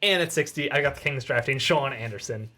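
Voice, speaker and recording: A man co-hosting a sports podcast, reading his draft picks fast with casual jokes. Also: mid-range voice, expressive, bright, forceful tone. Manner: casual, joking, laughs mid-list. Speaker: podcast co-host. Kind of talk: rapid-fire mock draft reading.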